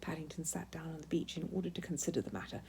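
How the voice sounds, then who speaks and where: A woman narrating a children's story in an expressive, emphatic read-aloud style. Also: low-pitched, rapid-fire, vocal fry, a little hiss or hum.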